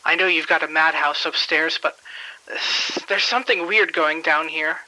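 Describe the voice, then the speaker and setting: A man's voice: on the high side, fairly even intonation, normal speed, pushed and bright, poor audio. An actor playing a nervous hospital maintenance worker in an audio drama, uneasy and hesitant as he reports in. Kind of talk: radio drama acting.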